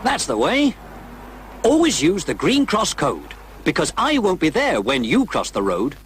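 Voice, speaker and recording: Bossy road-safety superhero, emphatic, very animated delivery, old film sound with clear noise. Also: male voice, a little high, measured pace. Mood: commanding. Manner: emphatic, instructive, commanding. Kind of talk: dramatised public information film. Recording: noisy old public information film soundtrack.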